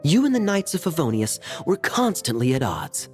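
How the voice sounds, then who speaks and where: A man's voice: on the high side, very animated, normal speed, faint music behind. A man voicing a sly young knight captain in a video game, talking in a playful, wry, teasing way.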